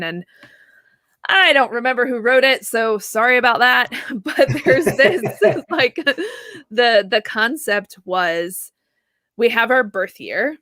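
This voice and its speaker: A woman in her thirties, a therapist guest answering at length in a casual, animated way, laughing easily and often checking in with "right?". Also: mid-range voice, dry recording, studio quality. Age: 30s. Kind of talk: conversational interview answer.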